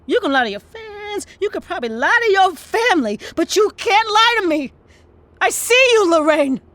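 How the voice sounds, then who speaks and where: A woman's voice: very high-pitched, very animated, moderate pace, a bit bright, no room sound, exaggerated voice. A woman with an American accent playing a worried, confrontational lover in an audio drama, speaking in an emphatic, plain-spoken way. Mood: anguished, angry. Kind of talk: naturalistic audio drama acting.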